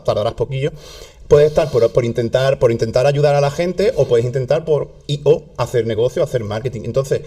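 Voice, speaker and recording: A man with a southern Spanish accent, a guest in a casual interview, talking in an animated way with many restarts. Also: medium pitch. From southern Spain. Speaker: health content creator guest.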